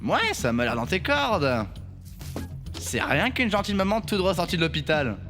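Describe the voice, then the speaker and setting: A theatrical, high-voiced cartoon demon boss, acted by a man. He is crude and sarcastic, with very animated delivery over a clear music bed. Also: normal speed. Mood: brash, showy. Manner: theatrical, foul-mouthed, sarcastic. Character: brash, vulgar male demon boss of assassins. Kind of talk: animated comedy fan dub. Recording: music behind the voice.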